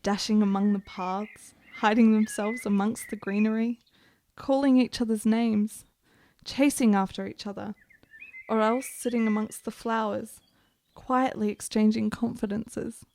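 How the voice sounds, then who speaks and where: An actress playing an ailing, bitter and morbid young gentlewoman in a period radio drama, with formal, dramatic delivery. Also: medium pitch, fairly even intonation, measured pace, vocal fry, slight background noise. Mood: wistful, melancholy.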